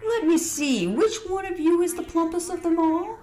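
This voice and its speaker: A woman voicing a cold, menacing alien invader in a story, with slow, drawn-out, taunting delivery. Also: very high-pitched, lively intonation, warm tone, echoey room, pitched above the performer's own voice. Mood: impatient menace. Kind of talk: storybook villain narration.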